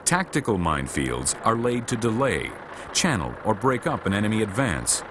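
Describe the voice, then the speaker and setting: A man reading scripted instructional narration for a military training film in a measured, formal, matter-of-fact way. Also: fairly low pitch, sing-song, background noise.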